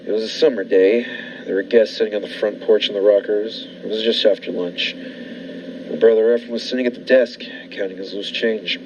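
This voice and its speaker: A man's voice: on the low side, fairly even intonation, normal speed, darkish tone, slight background noise, close to an everyday voice. A man playing a brusque, controlling hotel-owner bridegroom in an old-fashioned radio thriller, with a curt, ominous delivery. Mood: grim, ominous. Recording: muffled lo-fi radio play with music.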